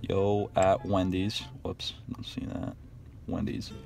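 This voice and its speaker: A man's voice: sits low, flat delivery, slow pace, gravelly, over music. Casual young guy in a car. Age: young adult. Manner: slangy, profane. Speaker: prankster ordering at drive-thrus. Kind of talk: casual deadpan prank chat. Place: inside a car at drive-thrus. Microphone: handheld camera from passenger seat.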